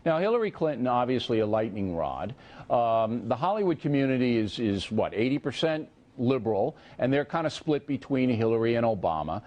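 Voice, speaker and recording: A man hosting a TV news interview, asking earnest, probing political questions and often filling pauses with "uh". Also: medium pitch, very animated, a little mellow, highs cut off.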